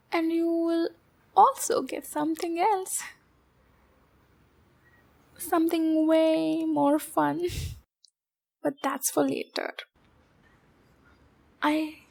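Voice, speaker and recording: A woman plays a possessive demon girl in an intimate ASMR roleplay. Her voice is high and slightly creaky, and she speaks slowly. She coos to the listener with clingy sweetness and an undertone of threat. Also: a little mellow, full character voice.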